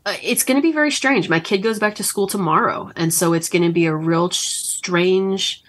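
A woman's voice: on the low side, normal speed. A woman in her thirties from Northern Kentucky, a romance author chatting casually as an interview guest, with lots of ums and likes. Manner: chatty and animated, frequent ums and likes, laughs as she talks.

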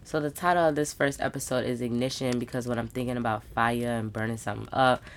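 A woman's voice: a bass voice, steady tone, quick pace, slight background noise. Upbeat young woman podcast host. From United States. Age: 20s. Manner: casual, slangy, upbeat with emphatic asides. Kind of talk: solo podcast monologue.

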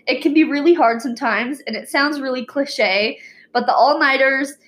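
Young woman co-host, slightly high voice, laid-back and filler-heavy. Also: normal speed. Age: young adult. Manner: relaxed, fills with "um" and "like". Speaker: student co-host. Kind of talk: casual podcast chat.

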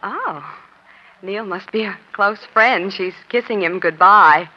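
A woman's voice: medium pitch, slow pace, sharp tone. A woman playing a savvy, chatty American newspaper reporter who feigns casual innocence in a 1940s radio crime drama. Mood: slyly chatty. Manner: breezy, chatty, slyly casual. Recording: dull, lo-fi old radio recording.

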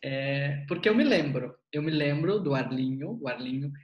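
A man interviewing a student about an online language course. He talks in a casual, animated way, exclaims often and repeats key words. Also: a little high, moderate pace, roomy sound, muffled recording.

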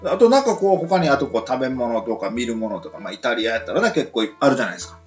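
Male co-host, western Japanese accent, animated and jokey casual radio chat; roomy, slightly dull sound. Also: medium pitch, racing, soft background music. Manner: joking, frequent backchannel responses. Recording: roomy, slightly muffled recording.

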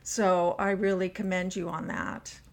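A woman's voice: lowish voice, steady tone, measured pace, creaky. A woman interviewing on a podcast in a conversational, supportive and appreciative way.

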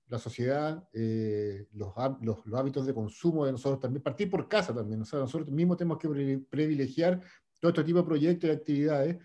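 A man's voice: fairly high pitch, mellow. A man moderating an online discussion, enthusiastic and rambling.

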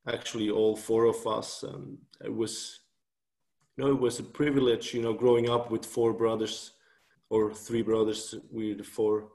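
Creaky-voiced Swiss man in a roomy space. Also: fairly low pitch, a little mellow. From Switzerland. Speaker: interview guest, author. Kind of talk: casual conversational interview. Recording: roomy, echoing call audio.

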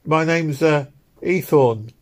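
A man's voice: fairly high pitch, slow, pitched above the performer's own voice. A man voicing a chatty, easily delighted young working-class London woman with a Cockney accent in an audiobook. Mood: eager, deferential. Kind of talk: audiobook character dialogue.

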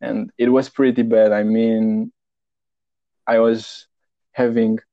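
A man's voice: medium pitch, little pitch movement, slow, no room sound, muffled recording. A man in his twenties from Romania, a guest talking frankly and plainly in a casual interview, often repeating words while he thinks. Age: 20s.

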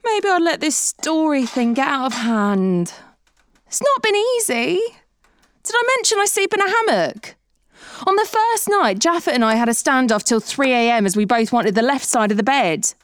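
A woman's voice: high voice, very animated, normal speed, clean tone, dry recording, clean studio sound. A woman playing an anxious, suspicious amateur sleuth with a fretful, incredulous manner in a comedy audio drama. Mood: doubtful, rueful.

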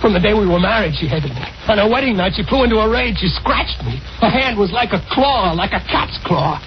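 High-pitched, frantic haunted husband. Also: male voice, lively intonation, brisk, slight background noise. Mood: haunted, insistent. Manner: agitated, stammering, pleading. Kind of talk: 1940s-50s radio drama acting. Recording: muffled old radio recording, music behind.

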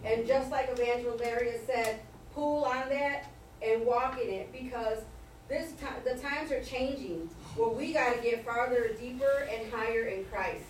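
A woman's voice: fairly high pitch, moderate pace. Hesitant woman in an echoey church. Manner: hesitant, reading her notes. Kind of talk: sharing a word at a church service. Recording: distant, echoey, noisy church room.

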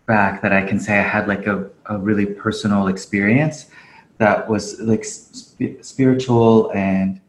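A man's voice: lowish voice, little pitch movement, measured pace. A man, a podcast guest, talking casually and reflectively with many fillers and easy laughs; he grew up in Japan. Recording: roomy echo.

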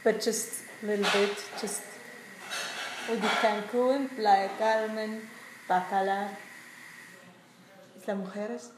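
A woman from Switzerland, chatting in halting English with many fillers as the one being interviewed. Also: mid-range voice, fairly even intonation. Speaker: interviewee, traveller. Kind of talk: casual chat in learner English. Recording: echoey room with noise behind.

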